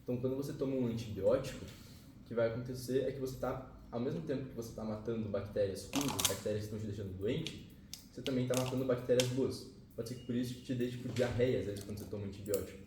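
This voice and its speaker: A man's voice: mid-range voice, quick pace, recorded from a distance. Casual man teaching biology in an echoey classroom. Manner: informal, slangy, swears, checks in with tá bom. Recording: echoey classroom picked up from afar, noisy.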